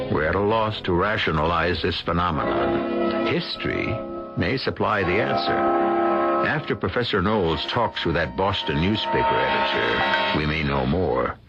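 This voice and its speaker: A man narrating as host of a scripted suspense radio show, deliberate and portentous in manner. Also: low voice, exaggerated voice. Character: grave, deliberate male mystery-show host. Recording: muffled old radio recording. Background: prominent music.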